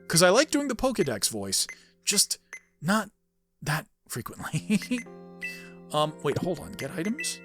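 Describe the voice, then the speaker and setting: A man's voice: sits high, wildly swooping pitch, unhurried, long pauses, over music. A man giving casual let's-play commentary as host, rambling and thinking aloud. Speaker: gaming video host.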